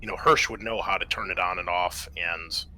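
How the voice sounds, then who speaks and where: A man's voice: deep voice, steady tone, moderate pace, pushed and bright, rough recording. A man acting as game master, casually explaining a tabletop sci-fi game. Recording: noticeable background noise.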